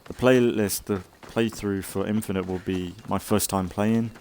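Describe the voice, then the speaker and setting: Low-voiced man, rambling game commentary. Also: steady tone, unhurried, darkish tone, slight background noise. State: slightly congested from an oncoming cold. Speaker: gaming let's play host. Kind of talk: casual rambling gameplay commentary.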